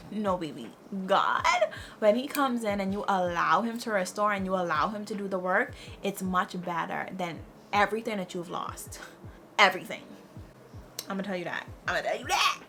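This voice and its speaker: A woman in her twenties giving lively, faith-filled life advice to her viewers, repeating her key phrases and calling them "girl". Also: medium pitch, moderate pace, faint music behind. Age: 20s. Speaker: lifestyle vlogger. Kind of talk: casual motivational advice talk.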